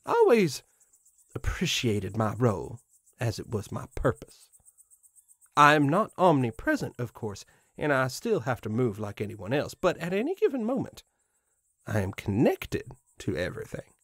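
A man's voice: mid-range voice, fairly bright tone, dry recording, studio quality. A man playing a proud, mischievous living scarecrow who guards a farm, musing in a theatrical, pompous way in an audio drama monologue. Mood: fond, reflective. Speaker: voice actor.

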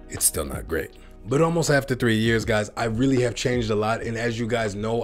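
An American man in a casual vlog, rambling a bit and talking straight to his viewers, often calling them guys. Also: medium pitch. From United States. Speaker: vlogger. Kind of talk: casual reaction vlog to camera.

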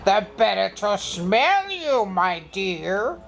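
A woman voicing a male storybook wolf, sly and a little sheepish, with slow, drawn-out speech. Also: mid-range voice, big pitch swings, vocal fry, soft background music, heavily acted. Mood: sly, menacing.

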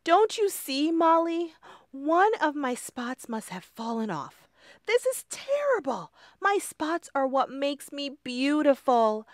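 Woman doing squeaky anxious little ladybug Lindy. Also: sing-song, drawn-out words, dry recording, studio quality, heavily acted. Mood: distressed. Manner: high squeaky worried voice. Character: small anxious young female ladybug.

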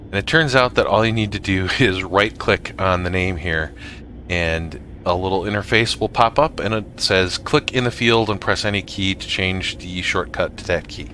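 A man narrating a casual software tutorial for gamers in a halting way, often pausing mid-sentence. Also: sits low, slight background noise. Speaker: gamer making a tutorial video.

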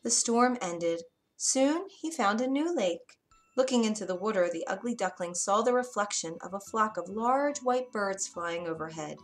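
A woman narrates a children's bedtime fairy tale with medium pitch. Her delivery is expressive and deliberate, and music plays behind her. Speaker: storyteller.

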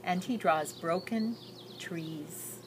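A woman's voice: on the low side, takes it slowly. An older woman reading a children's picture book aloud expressively, drawing out descriptive phrases with care. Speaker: storybook reader. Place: outdoors on a garden patio. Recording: outdoor recording with background noise.

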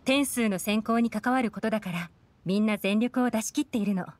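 A woman's voice: fairly high pitch, a little fast, a little mellow, a little hiss or hum, natural voice. An actress playing a composed, shrewd young female inspector in a Japanese fantasy video game, cool and poised. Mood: cool, confident. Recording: music behind the voice.

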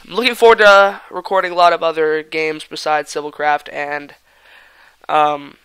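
A young man with a voice gone raspy from a cold, rambling casually while commenting on his own gameplay, sometimes muttering or whispering asides. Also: on the high side, flat delivery, normal speed, bright, forceful tone, dry recording, poor audio. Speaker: gaming channel host.

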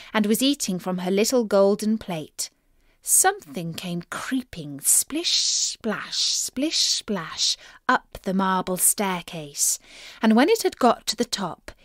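A woman reading a fairy tale aloud to children in an expressive, deliberate storytelling manner. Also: mid-range voice, no echo, studio quality.

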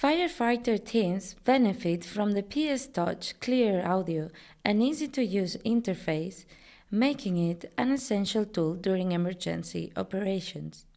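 A woman in her thirties speaks as a company presenter. Her voice sits at an average pitch for a woman. She delivers scripted product narration in an upbeat, promotional manner. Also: lively intonation, unhurried, gravelly, mellow. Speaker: company presenter, digital marketing manager.

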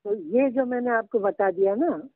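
An older man teaching Urdu literature, slowly and patiently dictating references to a student. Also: very high voice, sing-song, creaky, no echo. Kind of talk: guiding a student over the phone. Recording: tinny phone line.

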